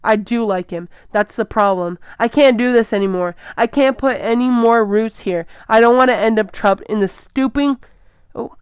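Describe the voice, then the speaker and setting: A woman voicing a teenage girl who shouts through tears, desperate and lashing out. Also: medium pitch, clear, resonant tone, no room sound, close to an everyday voice.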